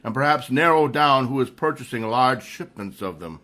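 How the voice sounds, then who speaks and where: A man playing a suave, wealthy amateur sleuth with measured, precise speech in a radio mystery. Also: mid-range voice, expressive, pushed and bright. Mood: composed.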